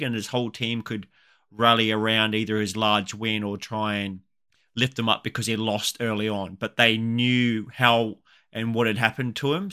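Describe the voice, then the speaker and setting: Man, Australian accent, podcast host, casual chat, bright voice, laughs easily. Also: mid-range voice, smooth. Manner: easygoing, laughs often, trails into fillers.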